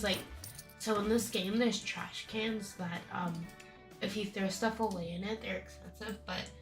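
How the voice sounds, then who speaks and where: A young woman streaming a game with casual, chatty commentary, her nose stuffy from a cold and her talk breaking into bubbly bursts of excitement. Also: mid-range voice.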